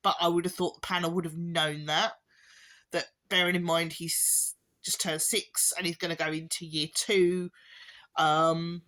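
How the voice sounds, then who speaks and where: Low-voiced woman vlogger, hoarse from a cough, chatty and meandering delivery. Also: flat delivery, unhurried, a bit bright. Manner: rambling, with many ums and restarts, occasional wry laughs. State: hoarse, losing her voice with a chesty cough. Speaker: parent vlogger. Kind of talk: casual spoken update to camera.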